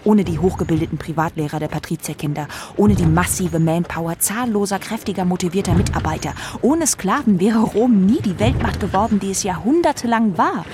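An actress playing an emphatic, rhetorical lecturer in a scripted theatrical satire. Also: female voice, mid-range voice, animated, fairly quick, few pauses, natural voice. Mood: confident, boastful. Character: brisk female lecturer praising empire, in satirical revue. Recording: clearly noisy, with clicks.

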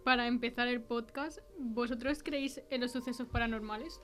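A woman podcast host with an accent from Spain and a slightly high voice. She presents and puts questions to guests in an interview style, with faint music underneath. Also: steady tone, moderate pace. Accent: Spain Spanish. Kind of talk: hosting a mystery interview podcast.

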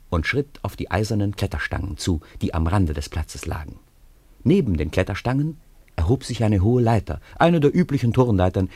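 Deep, husky male storyteller. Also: a little mellow, dry recording. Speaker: narrator. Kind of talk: scripted storybook narration in a radio play.

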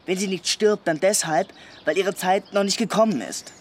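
A man's voice: sits high, normal speed, natural voice. A male actor playing a blunt, bitter, estranged man in a German radio drama, curt and controlled. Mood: reproachful. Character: bitter, blunt man, estranged old friend grieving his brother. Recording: clear ambient noise behind voice.

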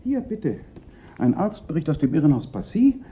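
An actor playing a fretful, self-pitying police prefect of Paris in a German radio play, theatrical and given to panicky lament. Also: male voice, fairly high pitch, sing-song, moderate pace, a little hiss or hum. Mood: dismissive, grumbling. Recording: dull, lo-fi radio play recording.

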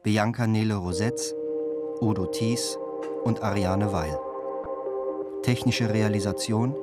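A man's voice: fairly low pitch, slow. A man reading scripted closing credits as a radio drama announcer. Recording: loud music underneath. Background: loud music.

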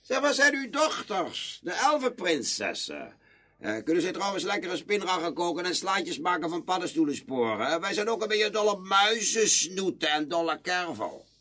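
An actor playing a cheeky, ill-mannered young goblin in a fairy-tale radio play, blurting things out foolishly. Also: male voice, high voice, very animated, normal speed, pushed and bright, full character voice. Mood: cheeky, greedy.

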